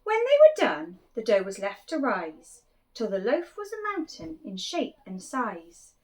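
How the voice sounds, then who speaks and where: A woman storyteller with a fairly high voice that rises and falls vividly. She reads children's verse expressively and takes her time, leaning on key words for drama. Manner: expressive, deliberate, dramatic emphasis. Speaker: storyteller reading a picture book to children. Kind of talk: animated children's story reading, rhyming verse.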